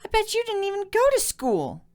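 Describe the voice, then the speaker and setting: Guy putting on a whiny little hybrid pony girl for a story, super high voice, really up and down, stubborn. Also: huge pitch swings, moderate pace, heavily acted. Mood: flat, accusing. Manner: whiny, challenging.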